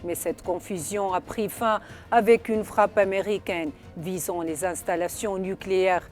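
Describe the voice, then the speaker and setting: A woman narrating a scripted news report in a formal, measured delivery. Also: medium pitch, steady tone, darkish tone. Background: background music.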